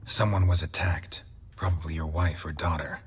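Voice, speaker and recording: An actor playing a stoic, grizzled monster hunter in a fantasy video game, with a gravelly voice and a terse, deadpan delivery. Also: male voice, normal speed, slight background noise. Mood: terse, probing. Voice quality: gravelly, husky low voice. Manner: terse, deadpan, clipped questions. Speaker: player character in a fantasy role-playing game.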